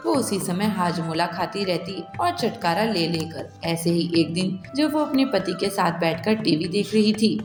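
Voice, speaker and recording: A woman reading scripted narration for a cartoon story. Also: mid-range voice. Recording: echoey reverb with clear music behind.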